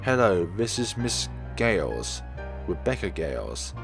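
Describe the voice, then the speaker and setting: Guy doing a polite guarded lady teacher from a visual novel, measured, low voice, music under it. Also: big pitch swings, gravelly, exaggerated voice. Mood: polite, wary. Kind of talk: visual novel dialogue read aloud.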